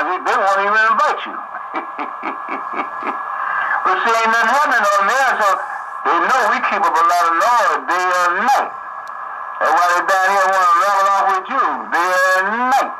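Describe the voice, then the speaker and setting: A man's voice: sits high, lively intonation, moderate pace, long pauses. A talkative man chatting casually and folksily over CB radio, often repeating his phrases. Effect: CB radio transmission. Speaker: CB radio operator. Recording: noisy CB radio through a speaker.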